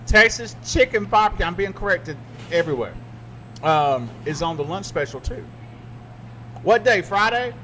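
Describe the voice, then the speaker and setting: A man's voice: high-pitched, animated, moderate pace, pushed and bright, a little hiss or hum. A man hosting a podcast, joking through a casual chat over food. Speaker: podcast host.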